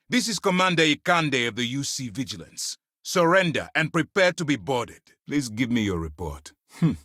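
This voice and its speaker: An actor playing a stern, principled military space-fleet commander in a video game, speaking formally and with measured authority. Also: male voice, sits low, breathy edge, no room sound, studio quality, exaggerated voice. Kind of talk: dramatic game character dialogue.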